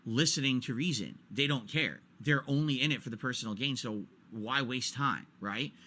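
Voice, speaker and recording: A man's voice: medium pitch, normal speed, bright, forceful tone. A man in his forties ranting during a stream, exasperated and repetitive, hammering his points home. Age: 40s.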